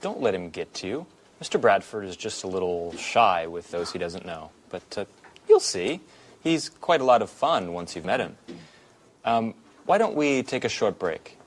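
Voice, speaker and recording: A man's voice: fairly low pitch, sing-song, normal speed. An actor playing a playful man who feigns shyness, speaking with hesitant stammers in scripted dialogue. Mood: mild, friendly. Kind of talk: acted dialogue, scripted audio drama.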